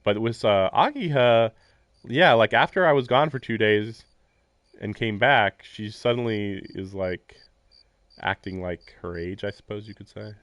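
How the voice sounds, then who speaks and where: A man's voice: fairly low pitch, a little slow, a bit bright, no echo, lo-fi sound. A man live-streaming casual game commentary and reading narration aloud, rambling and musing in a jokey way.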